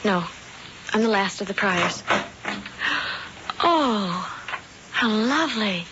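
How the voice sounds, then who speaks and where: A woman's voice: medium pitch, expressive, takes it slowly. An actress playing a sweet, trusting eighteen-year-old girl from a small Ohio town, in a 1970s radio drama. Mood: naive. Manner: sweet and trusting, easily charmed. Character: naive sheltered young country girl. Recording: dull old radio broadcast with faint hiss.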